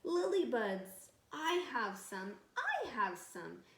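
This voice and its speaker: A woman acts out eager, generous storybook villagers in a high, emphatic voice. Each offering is drawn out slowly, in an echoey room. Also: wildly swooping pitch, full character voice. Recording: echoey room with faint background noise.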